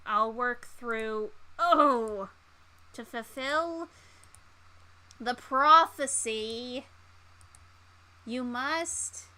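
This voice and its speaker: A woman voicing a solemn, melodramatic spirit from a game, drawing out a halting prophecy. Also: on the high side, sharp tone, heavily acted. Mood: strained, determined. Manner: drawn-out, portentous, halting.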